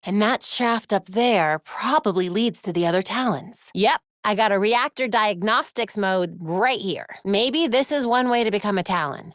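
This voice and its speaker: A woman's voice: mid-range voice, no echo, close to an everyday voice. Actress as a young American female crimefighter for a game: focused, wry, tech-savvy, closely recorded and dry. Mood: investigating. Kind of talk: video game voice lines.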